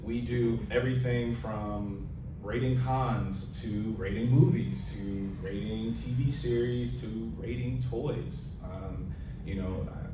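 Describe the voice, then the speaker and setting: Man hosting in an echoey, noisy room. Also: medium pitch. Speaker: panel host. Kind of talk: casual convention panel talk. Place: convention panel room. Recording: echoey room, distant mic, noisy background.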